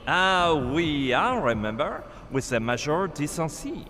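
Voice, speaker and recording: A carefree French professor. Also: male voice, on the high side, very animated, a little slow, pushed and bright, heavily acted. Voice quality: exaggerated French accent, mixes in French words. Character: eccentric French male professor, airy. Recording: game audio with music behind.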